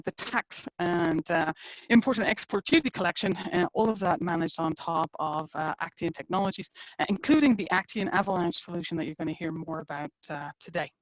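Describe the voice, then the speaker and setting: A middle-aged woman with an Irish accent gives a conversational company webinar presentation, filling pauses with uh and um. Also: lowish voice, dry recording, dull audio. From Ireland. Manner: conversational, frequent uh and um fillers. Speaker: engineering executive presenting a webinar.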